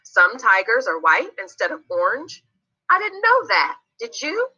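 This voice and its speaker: A woman's voice: on the high side, very animated, measured pace, pushed and bright, dull audio, pitched above the performer's own voice, exaggerated voice. A woman voicing a friendly, helpful young girl in a children's storybook, patient and encouraging. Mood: friendly, chatty.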